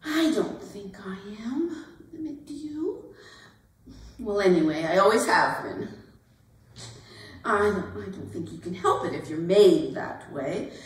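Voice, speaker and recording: A woman acting a giggly, earnest young society debutante in a theatrical monologue, gushing and halting as she talks. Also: medium pitch, big pitch swings, far from the mic. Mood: earnest, confiding. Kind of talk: theatrical dramatic monologue at a staged reading. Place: arts center performance space. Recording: echoey hall, picked up from afar.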